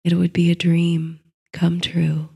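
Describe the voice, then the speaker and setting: Woman reading a sleepy bedtime story, gentle and mellow, bit husky n creaky. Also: on the low side, completely flat delivery, slow pace. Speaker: host and narrator of a sleep story series. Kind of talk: slow, soothing bedtime story and guided meditation narration.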